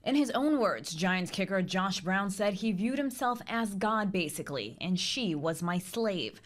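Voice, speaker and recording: A woman reporter narrating a packaged news story in a serious, deliberate way. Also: medium pitch, lively intonation.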